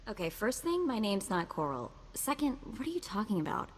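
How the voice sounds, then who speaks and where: A woman's voice: mid-range voice, very animated, brisk, a little hiss or hum, close to an everyday voice. A woman voicing a plucky, street-smart young slicer in a video game, wry and breezy, with clipped slangy talk. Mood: bold.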